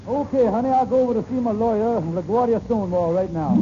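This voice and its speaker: Casting: man to play flustered radio-comedy schemer, middle-aged husband, high voice, folksy and exasperated, dull vintage sound. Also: fast talker, natural voice. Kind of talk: 1940s radio comedy acting. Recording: noisy, dull old radio recording.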